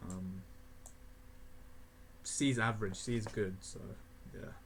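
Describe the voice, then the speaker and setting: A young man of eighteen talks loosely through his rankings, his voice sitting a little low, with frequent chuckles and filler words. A faint hum of the room sits behind him, with the odd mouse click. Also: steady tone, slow pace, a bit bright. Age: young adult (18). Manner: rambling, laughing often, many fillers. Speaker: gaming video creator. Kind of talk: casual tier list commentary.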